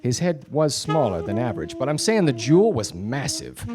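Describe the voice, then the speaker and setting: An actor playing a self-important 1940s detective, narrating in deadpan, theatrical radio noir style. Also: male voice, mid-range voice, normal speed, natural voice. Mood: dry, confiding. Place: theatre stage before studio audience. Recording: live radio play, music behind.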